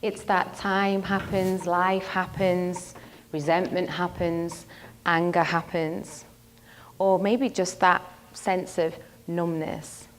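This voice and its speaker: A woman's voice: lowish voice, flat delivery, slow pace, slight background noise. A woman relationship coach giving a motivational talk to an audience, telling a personal story and asking the crowd questions. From not from the local area; lives in London.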